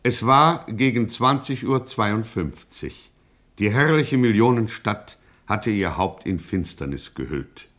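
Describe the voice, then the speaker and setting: A man's voice: fairly low pitch, animated, slow pace, a bit bright. A man narrating a radio crime satire in a florid, mock-solemn, dramatic storytelling style.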